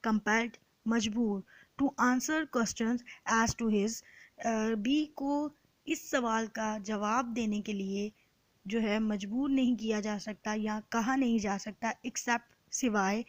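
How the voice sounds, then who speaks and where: A woman with a Pakistani accent giving an explanatory lecture in a deliberate, instructive way, repeating points in other words. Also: a little high, no room sound, low-quality recording. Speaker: law lecturer. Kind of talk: explanatory exam-prep lecture, reading and translating.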